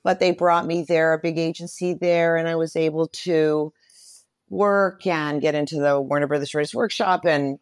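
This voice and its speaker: A woman's voice: deep voice, steady tone, moderate pace, clear, resonant tone, no echo, clean studio sound. A middle-aged woman speaking earnestly and reflectively in a casual interview, often affirming what she hears. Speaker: podcast guest, performer and mentor.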